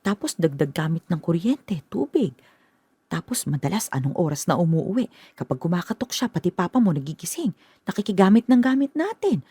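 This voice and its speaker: A woman's voice: on the low side, very animated, a little fast, dark tone, breathy edge, no room sound. An actress playing a tired middle-aged mother who complains about an extra person in the house, in a radio drama. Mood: complaining. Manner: complaining, weary. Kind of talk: Filipino radio drama acting.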